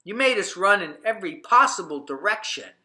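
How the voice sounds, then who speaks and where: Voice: medium pitch, lively intonation, slow pace, pushed and bright, reverberant, pitched above the performer's own voice. A storybook reader voicing a crowd of townspeople who exclaim and scold in raised, indignant voices. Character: crowd of frightened, then furious townspeople.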